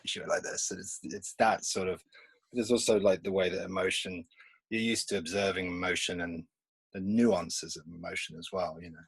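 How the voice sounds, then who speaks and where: Man, 50s, husky low voice, dry close sound, rambling and laughing. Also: a bit bright, no echo. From England. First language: Polish. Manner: rambling, laughs often between thoughts. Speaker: comics artist guest. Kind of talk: casual remote podcast interview.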